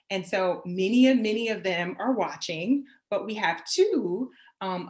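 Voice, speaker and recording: A woman hosting a virtual event, speaking in an effusive, appreciative way. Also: medium pitch. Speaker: event host.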